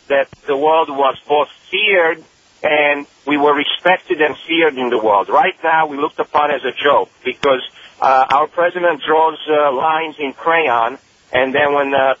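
A man's voice: fairly high pitch, fairly bright tone, dull audio. Indignant male radio caller, from Romania. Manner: emphatic, indignant, halting with fillers. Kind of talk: call-in radio.

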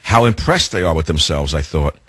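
A man's voice: expressive, brisk, fairly bright tone, breathy edge, no echo. Indignant male talk radio host. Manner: sarcastic, indignant, theatrical pitch swings.

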